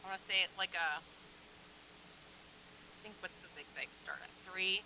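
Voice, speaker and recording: Easygoing woman guest with a lower, bright voice. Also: normal speed, slight background noise, rough recording. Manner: easygoing, hesitant, with frequent ums and likes. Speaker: guest quilt designer. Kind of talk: casual chat.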